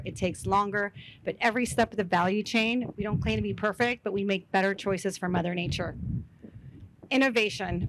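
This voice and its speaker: A woman from Mexico, a founder giving a rehearsed, confident startup pitch to investors. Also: dry recording, rough recording. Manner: confident, rehearsed, measured delivery.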